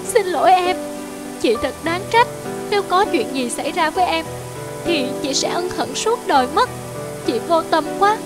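A woman's voice: very high-pitched, expressive, normal speed. A woman voicing an anxious young female student, an older sister, in an emotional cartoon drama. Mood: remorseful. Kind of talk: animated drama voice acting. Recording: music behind the voice.

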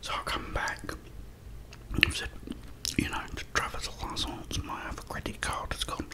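A middle-aged man with a British accent, whispering a rambling, chatty story as an ASMR creator in a dim room, close to the mic. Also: moderate pace. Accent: British English. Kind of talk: whispered ASMR chat while eating. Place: dim indoor room at a table.

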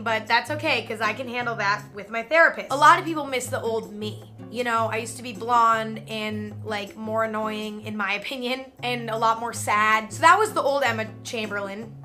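Bright, chatty young woman vlogger. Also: fairly high pitch. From Northern California. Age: young adult. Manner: chatty, self-mocking, frequent "like" fillers. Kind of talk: casual vlog chat. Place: hotel room.

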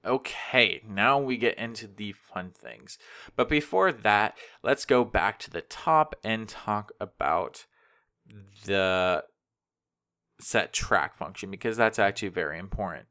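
Man, somewhat low pitch, bright crisp-edged tone, casual tutorial presenter, informal, talks straight to viewers. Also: expressive, takes it slowly. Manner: informal, addresses viewers directly, voices code logic as speech. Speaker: web development tutorial presenter. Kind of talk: casual screencast code walkthrough. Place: voice-over to a screen recording.

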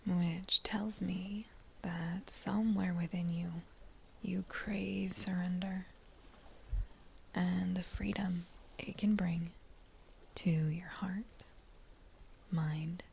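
A woman leading a guided meditation in a gentle, breathy near-whisper, speaking in short unhurried phrases with pauses between them. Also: lowish voice, flat delivery, slight background noise. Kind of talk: slow guided meditation narration.

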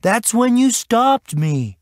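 A man's voice: extremely high pitch, very animated, slow pace, dry recording, studio quality, pitched above the performer's own voice, full character voice. A man voicing an exasperated, matter-of-fact teenage boy in an audiobook, sounding put-upon. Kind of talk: audiobook character acting.

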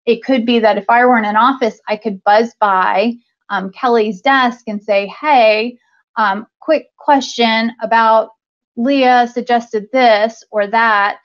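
Woman giving a webinar talk, bit of vocal fry, lots of ums, dull lo-fi call audio. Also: fairly high pitch. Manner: conversational, many ums and restarts. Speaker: conference speaker, talent acquisition leader. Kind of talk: conference talk over slides. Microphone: video call webcam.

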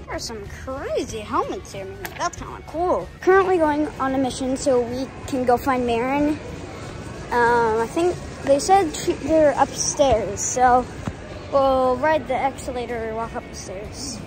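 High-voiced woman vlogging over music. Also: animated, unhurried, rough recording. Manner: chatty, with frequent fillers, addressing viewers as "guys". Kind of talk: casual travel vlog narration. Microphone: handheld phone. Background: background music.

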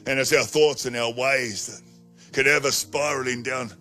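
A man in his sixties preaching a sermon on a studio stage into a handheld mic, emphatic and slowing down to stress key phrases. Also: mid-range voice, fairly even intonation, sharp tone, soft background music. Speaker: pastor. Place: studio stage with colored backdrop.

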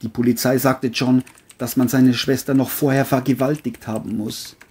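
A man playing a crime novelist in an amateur radio play, speaking in a formal, deliberate manner. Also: mid-range voice, little pitch movement, a little mellow, husky, lightly acted. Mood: deadpan, narrating. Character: boastful male bestselling crime novelist. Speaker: writer and narrator of his novel.

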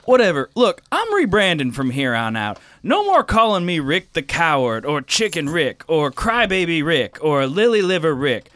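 A man's voice: on the high side, big pitch swings, normal speed, hardly any pauses, fairly bright tone, no echo. A man playing a cowardly small-town western sheriff in his thirties with a folksy, defensive, profane manner in a comedic role-play. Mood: indignant.

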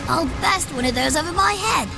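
A woman voicing a tiny, cheeky, excitable elf sidekick in a comic fan dub, flustered and swooping. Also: very high voice, a little fast, vocal fry, over music, full character voice.

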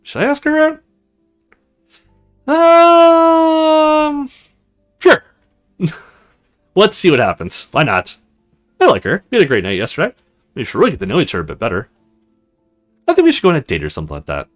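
A man's voice: high-pitched, huge pitch swings, moderate pace, pauses often, soft background music, voice pushed up. A man voicing an awkward, earnest young male recruit in a visual novel, hesitant and self-conscious.

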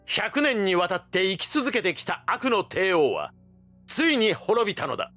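A man's voice: high voice, big pitch swings, moderate pace, bright, forceful tone, soft background music, exaggerated voice. A man narrating a video game story in a dramatic, declamatory scripted style.

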